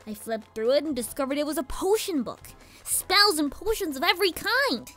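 High, bratty little villain filly. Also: female voice, animated, normal speed, sharp tone, faint music behind. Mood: chatty, confident. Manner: sassy, defensive. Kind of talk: animated-style fan audio drama.

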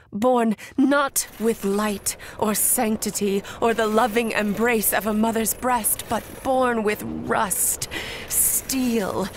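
A woman playing the undead young Bride of Frankenstein in a gothic horror audio drama, solemn, hushed and breathy in a poetic monologue. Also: mid-range voice, slight background noise. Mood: haunted, hushed. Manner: breathy, hushed, incantatory, deliberate.

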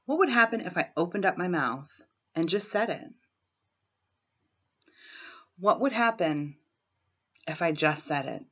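A woman in her thirties, hoarse from a head cold, talking frankly and clearing her throat as she guides a tapping session at home on her laptop webcam. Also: lowish voice, animated, moderate pace, a bit bright. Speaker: tapping coach. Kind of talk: self-help vlog with guided tapping. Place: small room at home, indoors. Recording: dull lo-fi webcam sound.

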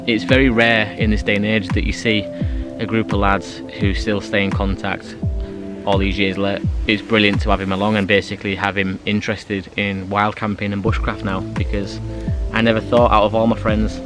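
A man in his thirties with a British accent, chatting casually to the camera outdoors in a forest, rambling with fillers and restarts. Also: lowish voice. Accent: British English. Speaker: bushcraft vlogger. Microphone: handheld camera at arm's length. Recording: background music behind the voice.

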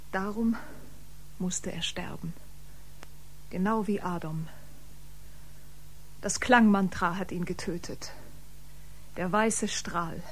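An actress playing an unhinged, jealous female killer in a science-fiction radio drama, theatrical and halting, lapsing into whispers. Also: medium pitch, a little hiss or hum, lightly acted. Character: unhinged, jealous woman bio-musician, confessed killer.

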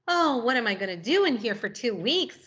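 An excitable little caterpillar from a picture book, acted out by a woman, whines and pesters without any patience. The voice is high and leaps around, stretching cries out dramatically. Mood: whiny, restless. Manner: pestering, exclaiming, drawn-out cries. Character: impatient, excitable, whiny caterpillar longing to be butterfly.

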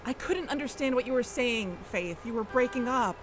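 A woman's voice: a little high, expressive, moderate pace, background noise, close to an everyday voice. A woman playing a dogged, impatient investigator in a podcast audio drama. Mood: incredulous. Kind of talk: audio drama acting with narration.

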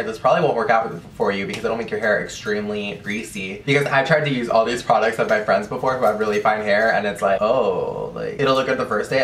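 A man's voice: lowish voice, a bit bright, echoey room, recorded from a distance, slight background noise. A young man from Texas chatting casually through a hair-care tutorial in his bedroom, gushing and saying "like" often, filmed on a camera a short way off. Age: young adult. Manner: chatty, gushing, heavy use of "like". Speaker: beauty vlogger. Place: bedroom, indoors.

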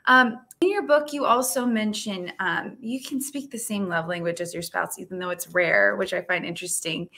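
A woman's voice: mid-range voice, normal speed, gravelly, hardly any pauses, pushed and bright. A young woman co-hosting a video call interview, asking questions with many ums and restarts. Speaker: co-host interviewer. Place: home room.

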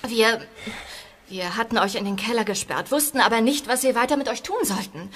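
A woman's voice: on the high side, a little fast, fairly bright tone, a little hiss or hum, natural voice. An actress playing a young woman accomplice in a radio play, confessing with hesitant, stammering speech.

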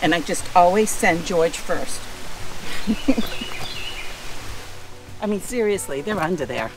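A woman's voice: fairly low pitch, animated, slow. A middle-aged woman chatting casually on a forest hike and in a car, laughing easily. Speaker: travel vlogger. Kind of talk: casual chat. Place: forest trail outdoors, then parked Jeep. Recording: outdoor noise, waterfall behind.

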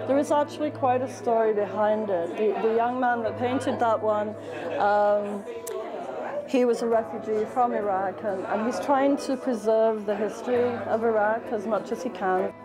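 A woman's voice: a little high, normal speed, over music, crowd noise. An older woman organizing a charity art show, interviewed indoors on a lapel mic and speaking with frequent "um"s. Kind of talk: casual interview. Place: indoor gallery room.

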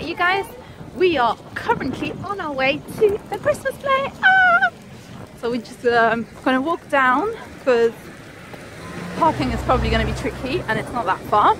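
High-pitched young woman vlogger, noisy recording. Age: young adult. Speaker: vlogger and mother. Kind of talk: casual vlog chat to camera. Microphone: handheld phone or camera at arm's length. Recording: noisy handheld vlog recording, car rumble.

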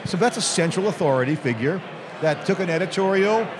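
Middle-aged american guy hosting a conference interview, punchy and emphatic, handheld mic, busy crowd noise behind. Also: sits high. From United States. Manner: energetic, emphatic, quick to interject. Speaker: interview host. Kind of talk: conversational interview. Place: large hotel hall at a conference.

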